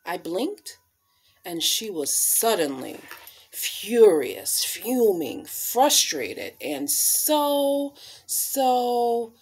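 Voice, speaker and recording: A woman in her thirties reading aloud to children as a storyteller, with deliberate, dramatic emphasis on key words. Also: mid-range voice, very animated, breathy edge. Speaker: storyteller reading a picture book. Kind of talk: expressive read-aloud for children. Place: small living room, indoors.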